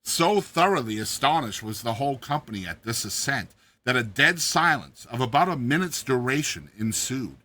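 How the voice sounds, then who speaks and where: A man narrating a classic short story as a dramatized reading, with theatrical and deliberate phrasing. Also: medium pitch, animated, pushed and bright.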